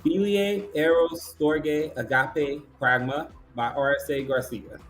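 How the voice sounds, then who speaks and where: Higher-voiced man hosting awards, music behind. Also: steady tone, slow pace, a bit bright, low-quality recording. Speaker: awards show host. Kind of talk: hosting an online awards ceremony.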